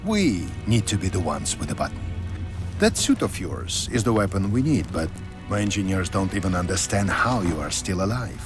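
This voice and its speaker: An actor playing a ruthless, cynical military spymaster in a video game, with a deliberate, menacing and theatrical delivery. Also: male voice, deep voice, big pitch swings, music in the background. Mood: cynical, brooding. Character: ruthless scheming male military spymaster, cynical about war. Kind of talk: dramatic video game cutscene acting.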